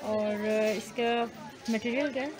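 A woman's voice: a little high, measured pace, rough recording. A woman vlogging in a shop, asking prices in short questions and repeating the answers. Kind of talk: casual shopping vlog. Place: busy indoor market shop. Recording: loud market crowd behind. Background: loud crowd chatter.

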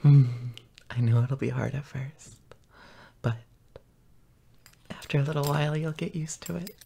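Slow, breathy, doting boyfriend played by a man. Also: mid-range voice, a little hiss or hum. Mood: tender, reassuring. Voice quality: breathy, often whispering. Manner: slow, soft, murmuring with pauses. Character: tender, doting, possessive boyfriend, gently dominant. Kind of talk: intimate whispered audio roleplay.

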